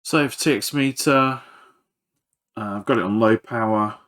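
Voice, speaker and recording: A man's voice: fairly low pitch, moderate pace, a bit bright. A man giving casual, hands-on repair commentary as he works, hesitant and thinking aloud. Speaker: electronics repairer presenting a video.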